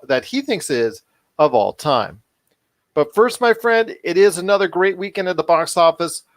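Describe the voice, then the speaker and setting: A man's voice: fairly high pitch, no room sound. A man hosting a podcast in an upbeat, chatty style, wordy and given to repeating himself. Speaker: podcast host.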